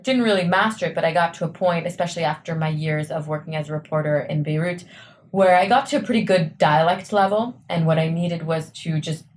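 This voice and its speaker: Low-voiced young woman on a podcast, earnest, lots of uhs and ums, faint hiss in the background. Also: brisk. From New York, USA. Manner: earnest, explanatory, frequent "uh" and "um" fillers. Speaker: startup co-founder, podcast guest. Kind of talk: interview answers.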